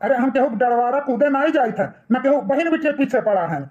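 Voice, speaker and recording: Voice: high voice, brisk, darkish tone, highs cut off, close to an everyday voice. A performer voicing a bitter, outspoken young village man in a cartoon moral story, taunting and reproachful, with an Awadhi accent.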